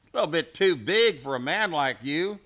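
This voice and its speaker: A man's voice: on the high side, lively intonation, brisk, background noise, close to an everyday voice. A man playing a swaggering, mocking cowboy bully in a Western radio play, with a folksy, taunting manner.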